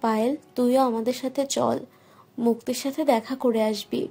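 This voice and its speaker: A woman voices a forthright elder sister from a romantic audio story. Her pitch is very high and her delivery emphatic, over faint music. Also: little pitch movement, moderate pace, creaky, warm tone, slightly rough. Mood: matter-of-fact. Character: outspoken, protective elder-sister figure of a village family. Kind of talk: audio-story dialogue acting.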